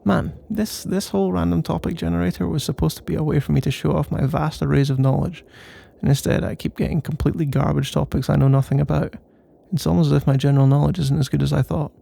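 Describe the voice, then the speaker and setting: A man's voice: fairly high pitch, flat delivery, fast, creaky, few pauses, mellow. A man doing a casual, rambling ASMR boyfriend roleplay in a playful, mock-boastful and meandering way.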